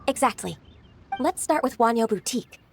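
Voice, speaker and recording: An actress playing a gentle, composed and shrewd young secretary in a video game, speaking politely and thoughtfully. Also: female voice, fairly high pitch, expressive, normal speed, vocal fry, slight background noise. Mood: calm, helpful.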